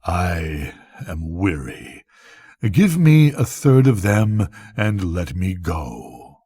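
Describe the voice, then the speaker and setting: Old man reading as husky, weary, relentless Death. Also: sits low, sing-song, warm tone, no room sound, studio quality, voice pushed down, exaggerated voice. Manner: slow, solemn, archaic speech.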